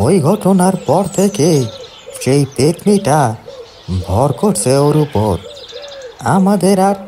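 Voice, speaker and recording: An anxious village man, voiced by an actor in a horror cartoon, pleads slowly in a fairly high, dark-toned voice. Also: animated. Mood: worried. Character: worried village man pleading for help against a ghost.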